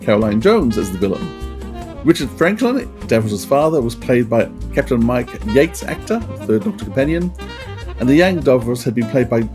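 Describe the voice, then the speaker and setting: A middle-aged man hosting a podcast, running through facts and discussing them conversationally. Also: mid-range voice. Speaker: podcast host. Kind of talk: conversational trivia rundown and discussion.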